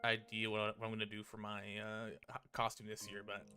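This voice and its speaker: A male livestreamer talks through a visual novel in a bright, crisp-edged voice. He is informal and casual, slipping between chatty commentary and reading the text aloud, with soft game music playing underneath. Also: on the low side, completely flat delivery, brisk. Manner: informal, occasional swearing.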